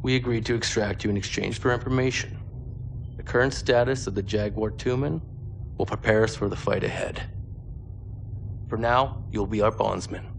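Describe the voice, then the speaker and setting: An actor playing a grave, principled male mech commander in a game cutscene, speaking in a formal, measured, commanding way. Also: on the low side, fairly even intonation, creaky, slight background noise. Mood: stern, measured. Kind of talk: dramatic video game cutscene acting. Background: dramatic music.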